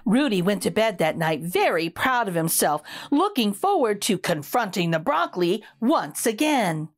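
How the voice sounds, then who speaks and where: A woman reading a story aloud for young children in an expressive, emphatic way. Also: medium pitch, moderate pace, soft background music. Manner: animated, emphatic.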